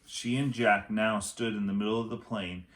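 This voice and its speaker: A man reading a children's chapter book aloud as a teacher would, with expressive, dramatic phrasing. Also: on the low side, moderate pace. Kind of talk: storybook read-aloud narration.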